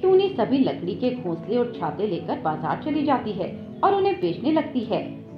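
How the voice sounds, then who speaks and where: A woman's voice: a little high, big pitch swings, moderate pace. A woman giving scripted narration for a children's moral story. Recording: echoey, dull audio with background music.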